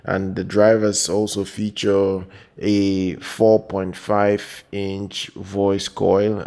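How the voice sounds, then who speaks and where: A man with a very low, creaky voice hosts a product news voice-over. His delivery is flat and halting, circling back to repeat phrases and stretching out his hesitations as he goes. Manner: halting and repetitive, with drawn-out hesitations. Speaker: product news video host.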